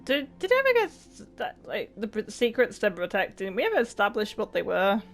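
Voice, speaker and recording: A woman doing casual let's play commentary as a game streamer, wry, irreverent and quick to swear. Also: medium pitch, wildly swooping pitch. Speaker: gaming streamer. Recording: game music behind the voice.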